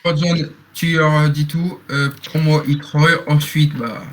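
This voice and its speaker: A man with a somewhat high voice speaks in casual online game chat. He is blunt and authoritative, like a moderator handing out rulings. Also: flat delivery, unhurried, a little hiss or hum, low-quality recording. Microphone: online voice chat microphone.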